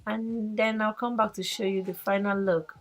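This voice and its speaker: A woman casually explaining a hair tutorial as she works, halting and full of "you know". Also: medium pitch, steady tone. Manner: halting, filler-heavy demonstrating. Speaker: hair vlogger, host.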